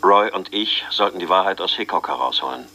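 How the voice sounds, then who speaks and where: An actor playing a weary but determined middle-aged detective who speaks in a brisk, matter-of-fact way. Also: male voice, sits low, lively intonation, sharp tone, a little hiss or hum, heavily acted.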